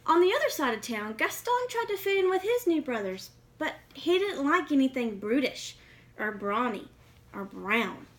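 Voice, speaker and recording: A woman's voice: high voice, sing-song, moderate pace. A woman reading a children's picture book aloud expressively, with questioning asides to young listeners. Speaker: read-aloud reader.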